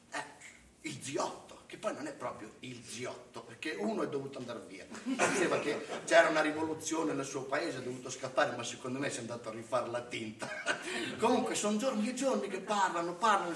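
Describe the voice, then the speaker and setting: A man playing a high-pitched, rambling sardonic chef. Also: a bit bright. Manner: colloquial, digressive, exclamatory, punctuated with rhetorical check-ins. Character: rambling, sardonic Italian restaurant chef, irreverent and boastful. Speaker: stage actor in a satirical monologue. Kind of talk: comic theatre monologue, colloquial direct address. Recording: distant roomy live recording, faint noise.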